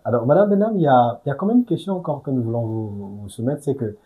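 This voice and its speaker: A man's voice: medium pitch, sing-song, fast talker, phone-quality sound. A man hosting an interview, asking long, formal and polite questions and murmuring acknowledgements. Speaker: interview host.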